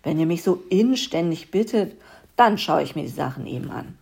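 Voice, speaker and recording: A woman's voice: lowish voice, expressive, normal speed, creaky, a little mellow, husky, heavily acted. A woman voicing a vain, haughty stag in a spoken fairy tale, talking pompously and disdainfully.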